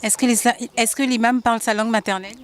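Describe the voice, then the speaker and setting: Brisk woman TV interviewer. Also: a little high, steady tone. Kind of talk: formal interview questions.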